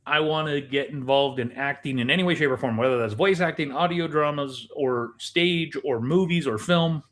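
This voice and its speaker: A man's voice: a little high, moderate pace, fairly bright tone. A man giving emphatic, instructive advice in a conversational way, as a podcast host who directs and produces.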